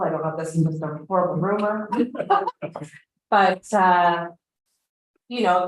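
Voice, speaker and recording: A woman with a slightly low voice questions officials informally as a township councillor. The meeting room around her is heavily echoey. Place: meeting room heard over a video meeting.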